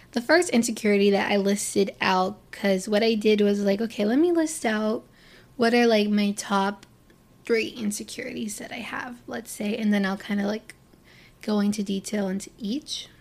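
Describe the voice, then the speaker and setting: A woman's voice: mid-range voice, steady tone, a little slow. A young woman from Florida talking casually to camera, rambling with lots of fillers and restarts as she opens up. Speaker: vlogger. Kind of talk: casual personal talk to camera.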